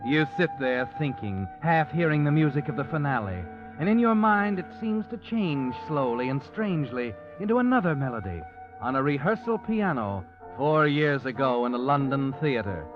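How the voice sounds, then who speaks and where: A man's voice: a little high, expressive, normal speed, close to an everyday voice. A man as the Whistler, ominous radio narrator. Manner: addresses the hero directly, insinuating. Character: the Whistler, brooding omniscient male narrator. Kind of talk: 1940s radio drama narration. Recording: dull old radio broadcast with music.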